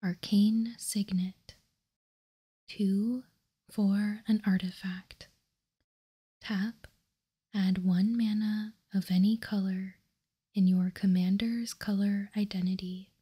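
Slow, whispering ASMR woman. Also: mid-range voice, fairly even intonation, mellow. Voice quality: breathy whisper. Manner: slow, deliberate, reading card text piece by piece. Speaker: host of a trading card ASMR channel. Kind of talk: ASMR whispered card showcase.

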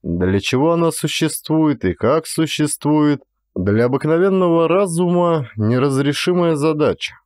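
A man reading a literary story as an audiobook, with an actor's expressive, measured storytelling delivery. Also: a little high, dry recording, studio quality. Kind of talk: dramatic literary audiobook narration.

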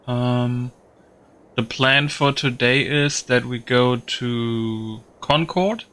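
A man giving casual gameplay commentary, thinking aloud in a halting, repetitive way. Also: mid-range voice, a bit bright. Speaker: gaming video host.

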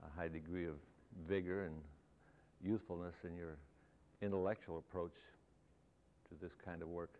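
A man in his sixties, an architect speaking as guest lecturer to students, reflective and loosely phrased with restarts. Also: low voice. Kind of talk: informal talk to students. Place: lecture hall. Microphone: lapel mic. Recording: thin, phone-like, faintly hissy 1970s videotape.

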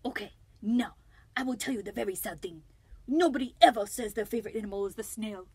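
A woman's voice: medium pitch, fairly quick, darkish tone, breathy edge, no echo. Woman putting on a vain, dramatic French snail. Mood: mock sorrowful. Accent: exaggerated mock French. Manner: pompous, theatrical. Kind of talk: storybook read-aloud character.

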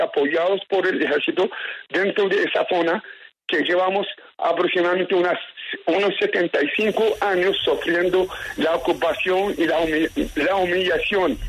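A man speaks as a radio interview guest in a slightly high voice. He is halting and repeats phrases for emphasis. Some noise sits on what sounds like a phone call to the studio. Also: fairly bright tone. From Palestine, West Bank. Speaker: interview guest, community representative.

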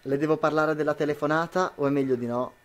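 A man's voice: fairly high pitch, fairly even intonation, fast talker, slight background noise, natural voice. Student playing a man, troubled disbelieving husband. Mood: panicked. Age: young adult. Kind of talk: amateur radio drama acting.

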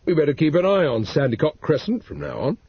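A man's voice: a little high, very animated, fast, breathy edge, no echo, heavily acted. A man voicing an officious police sergeant. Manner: formal, officious phrasing. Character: stolid, dry middle-aged police sergeant. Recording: dull lo-fi recording.

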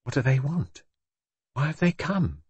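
A man's voice: medium pitch, big pitch swings, normal speed, darkish tone, breathy edge, no room sound, highs cut off, pitched above the performer's own voice. A man voicing a nervous, hysterical young wife in an audiobook, pleading and complaining. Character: frightened, hysterical young wife protesting her innocence. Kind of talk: audiobook character voice.

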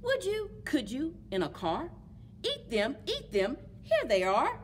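A woman's voice: high-pitched, huge pitch swings, measured pace, a little hiss or hum, pitched above the performer's own voice, heavily acted. A woman voicing a pushy, eager storybook creature who coaxes with insistent, rhyming questions. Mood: coaxing. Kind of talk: rhyming picture-book read-aloud. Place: public library children's book corner.

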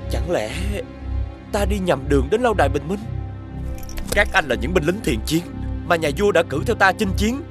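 An earnest young prince from a fairy-tale cartoon. He speaks in a high, animated voice that rises and falls widely, with music behind him. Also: moderate pace, long pauses. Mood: puzzled, commanding. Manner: earnest, expressive. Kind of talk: children's cartoon acting.

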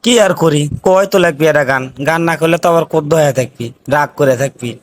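A man's voice: a little high, fast, lightly acted. A man voicing an anxious, broke young village boyfriend in a comedy cartoon, with a North Bengal accent and a whining, exasperated way of talking. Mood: anxious, grumbling. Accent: North Bengal Bangladeshi. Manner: whining, exasperated comic complaining.

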